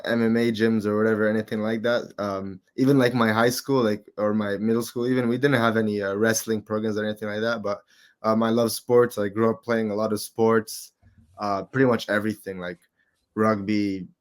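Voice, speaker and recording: A man in his twenties from Qatar, a guest in a casual interview, talking in a relaxed, rambling way with lots of fillers. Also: on the low side, a little fast. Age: 20s. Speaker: guest, amateur MMA fighter.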